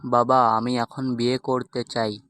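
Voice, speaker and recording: A man voicing a plain-spoken, lovelorn young man in a comic animated skit. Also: mid-range voice, a little slow, clean tone, dry recording, close to an everyday voice. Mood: matter-of-fact. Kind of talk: animated comedy skit dialogue.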